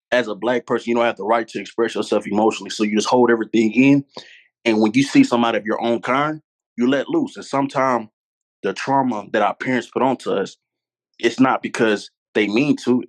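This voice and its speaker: A man's voice: medium pitch, poor audio. A man, a podcast guest talking candidly and earnestly, repeating his points for emphasis. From Louisiana, US. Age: 20s. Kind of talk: candid conversational storytelling.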